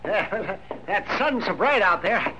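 Casting: crotchety-sweet older Old West doc, teasing, folksy, on a noisy vintage radio recording. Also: male voice, high voice, animated, slow pace. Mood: amused. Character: teasing, chuckling frontier town doctor, older man. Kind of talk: 1950s radio western acting.

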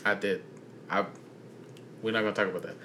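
A man's voice: lowish voice, steady tone, fairly quick, pushed and bright, a little hiss or hum. A young man co-hosting a casual chat, laid-back and slangy, drawing out his phrases. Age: young adult. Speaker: podcast co-host.

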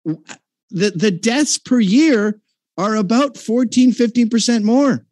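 A man's voice: high-pitched, slow pace, a little mellow, no echo, clean studio sound. A man arguing in a heated debate, combative and mocking, with frequent profanity.

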